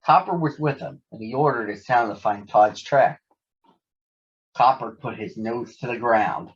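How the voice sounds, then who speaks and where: A man's voice: mid-range voice, unhurried, highs cut off. A man narrating a children's story from a script as a storybook reader.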